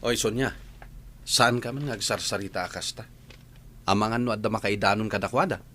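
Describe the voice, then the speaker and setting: A man's voice: lowish voice, fairly quick, sharp tone, a little hiss or hum. A man playing an exasperated, mocking character who rebukes someone in an audio drama. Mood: rebuking. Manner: mocking, scolding. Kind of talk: Filipino audio drama acting.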